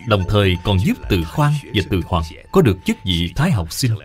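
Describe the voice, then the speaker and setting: A man's voice: fairly low pitch, sing-song, moderate pace, soft background music. A man narrating a moral cartoon tale in a scripted storybook style.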